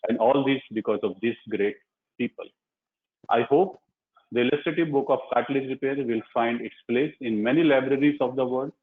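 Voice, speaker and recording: A man delivering a prepared launch speech as a surgeon and author, speaking deliberately in short, emphatic phrases. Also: medium pitch. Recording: muffled, phone-like narrow-band online call audio.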